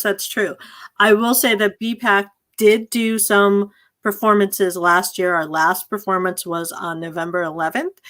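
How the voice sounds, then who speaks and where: A woman's voice: mid-range voice, a bit bright. Chatty woman hosting an informal panel. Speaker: host of a virtual theatre talkback. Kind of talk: casual panel discussion. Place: video call.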